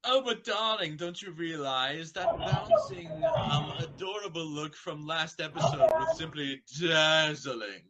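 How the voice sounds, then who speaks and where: A man's voice: fairly high pitch, very animated, unhurried, few pauses, dull audio, heavy background noise. Man putting on a dramatic, fussy lady pony fashionista. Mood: theatrical, fussy.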